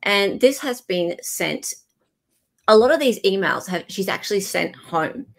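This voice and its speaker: A woman's voice: medium pitch, animated, unhurried, vocal fry. A woman with an Australian accent hosting a casual panel livestream and commenting as she goes. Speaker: livestream host.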